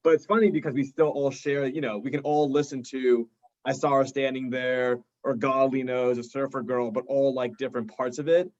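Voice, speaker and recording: A man's voice: mid-range voice, flat delivery, fairly quick, a little mellow, highs cut off. A man in a band chatting casually in an interview, joking and rambling, with lots of "like". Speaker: band drummer being interviewed.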